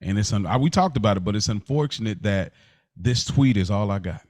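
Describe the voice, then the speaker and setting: A man in casual podcast conversation, talking in a halting way and often repeating himself. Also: fairly low pitch, expressive, a little mellow, dry recording, studio quality. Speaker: podcast speaker. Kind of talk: casual chat.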